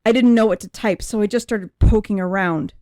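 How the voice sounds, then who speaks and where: Woman as a mellow-voiced, anguished young android. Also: mid-range voice, no echo, lightly acted. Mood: tense, awed. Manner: emotive, deliberate. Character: young female android with human memories, anguished, searching for herself. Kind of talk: dramatic first-person audiobook narration.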